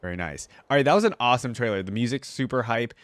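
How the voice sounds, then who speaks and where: A man's voice: medium pitch, expressive, quick pace, no room sound. A man giving casual, informal, enthusiastic live commentary as a reaction video host. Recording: faint music behind the voice.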